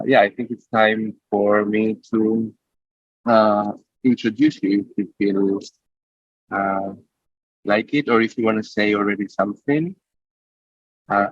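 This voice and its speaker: Low-voiced man hosting a video call. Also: no echo. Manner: hesitant, many "uh" fillers. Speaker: host of an online panel. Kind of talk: casual spoken introduction. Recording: dry, muffled video call audio.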